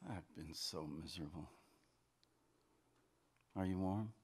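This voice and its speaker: An older man reading aloud as an insecure, needy married man, speaking softly and pleadingly into a table mic. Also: low-pitched, slow pace, slight background noise. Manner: soft, pleading, quiet. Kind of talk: live fiction reading of dialogue. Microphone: table mic on a stand.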